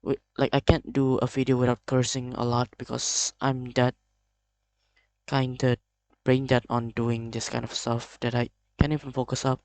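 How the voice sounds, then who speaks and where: A young man with an Indonesian accent talks haltingly into the camera, as if admitting something uncomfortable. His voice stays flat and level in pitch, with frequent false starts. The sound is close and dry, with no room around it. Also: dry recording. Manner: halting, hesitant, many restarts. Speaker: video creator. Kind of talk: confessional vlog.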